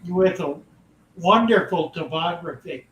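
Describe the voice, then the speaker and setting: An older man hosting a casual call-in chat, giving slow, genial and encouraging replies. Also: sits high, fairly bright tone. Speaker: host. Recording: roomy sound from far off, faint ambience.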